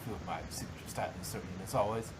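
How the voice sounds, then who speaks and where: A man's voice: fairly low pitch, fast, a little hiss or hum, lightly acted. A man voicing a polite, anxious female unicorn dressmaker, genteel and hesitant, with some stammering, in an amateur fan fiction reading. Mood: politely proud.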